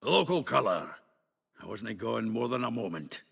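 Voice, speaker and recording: An actor voicing a feisty, foul-mouthed dwarf warrior in a fantasy video game, hearty and blustering. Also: male voice, lowish voice, big pitch swings, normal speed, vocal fry, a bit bright, breathy edge, full character voice. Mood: hearty, jovial.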